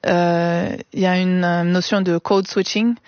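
Hesitant woman singer, lowish voice. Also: level tone, measured pace, darkish tone, dry recording. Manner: hesitant, many restarts, mixes in English words. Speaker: singer and guest. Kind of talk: radio interview. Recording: dull, dry studio sound.

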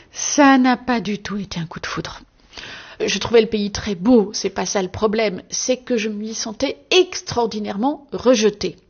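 A woman from Belgium answering interview questions as an author, articulate and measured with a wry, self-ironic touch. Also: mid-range voice, dull audio.

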